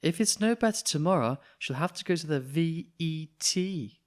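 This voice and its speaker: A man voicing a gentle, worried mother in a children's storybook, sounding concerned. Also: a little high, expressive, moderate pace, pitched above the performer's own voice, close to an everyday voice.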